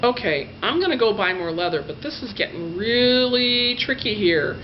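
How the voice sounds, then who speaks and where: A woman's voice: fairly high pitch, lively intonation, moderate pace, close to an everyday voice. A woman storyteller voicing a kindly old shoemaker in a fairy tale, simple and full of homely wonder. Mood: puzzled. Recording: dull lo-fi sound with faint noise.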